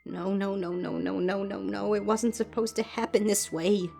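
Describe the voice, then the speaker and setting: A woman's voice: medium pitch, little pitch movement, moderate pace, creaky, mellow, close to an everyday voice. Woman playing a guilt-stricken, anguished young pony filly. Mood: panicked. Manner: stammering, pleading. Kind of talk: dramatized fan fiction audio reading. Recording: music under the voice.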